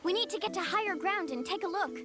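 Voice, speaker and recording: A woman's voice: extremely high pitch, expressive, fast. A woman playing a confident, determined young guide in an adventure video game. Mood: resolute. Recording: music playing under the voice.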